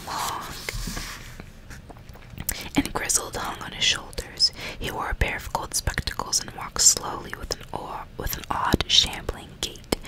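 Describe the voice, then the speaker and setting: Hushed, breathy whisper from a woman. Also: rough recording. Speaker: ASMR host reading a novel aloud. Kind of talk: whispered ASMR audiobook reading with casual asides.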